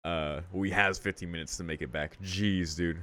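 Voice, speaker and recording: A man's voice: low-pitched, steady tone, moderate pace, fairly bright tone. A man hosting a visual novel playthrough, reading and reacting in slangy, profane casual talk, thinking aloud. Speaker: let's play host.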